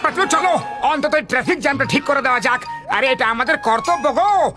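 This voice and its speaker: Really high voiced cartoon do-gooder, bumbling, hot-headed, insistent, music behind him. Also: male voice, a little fast. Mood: eager, earnest. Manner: exclamatory, insistent. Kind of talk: animated cartoon dub acting.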